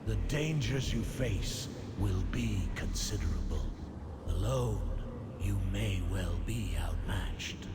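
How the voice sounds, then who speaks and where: A slow, gravelly, grave leader. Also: male voice, mid-range voice, very noisy. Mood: solemn resolve. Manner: solemn, portentous, deliberate. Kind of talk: dramatic game cutscene dialogue. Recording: loud music behind the voice.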